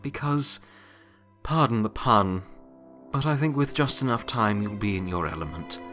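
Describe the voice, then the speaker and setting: Guy playing a really old retired grim reaper pony, gentle n rueful, kinda halting, soft music under it. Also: lowish voice, expressive. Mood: gentle reassurance. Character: Blanche, centuries-old retired pony Death, gentle, wry, weary. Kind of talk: dramatic audiobook character acting.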